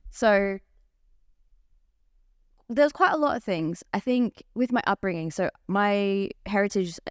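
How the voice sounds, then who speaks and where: A woman's voice: mid-range voice, slow pace, clear, resonant tone, no room sound, clean studio sound. A young woman, a coach telling her story openly in a podcast interview. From Australia. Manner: open, animated storytelling. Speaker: life coach guest.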